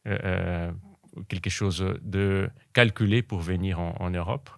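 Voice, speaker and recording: A man from Brazil, a podcast guest who speaks French haltingly and often repeats words while he searches for them. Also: low voice, steady tone, creaky, no room sound, studio quality. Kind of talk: casual interview conversation.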